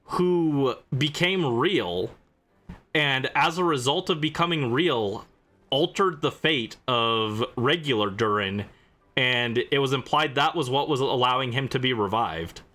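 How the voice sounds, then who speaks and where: A man livestreaming a video game, giving casual commentary and halting explanations as he reacts aloud. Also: mid-range voice, pushed and bright.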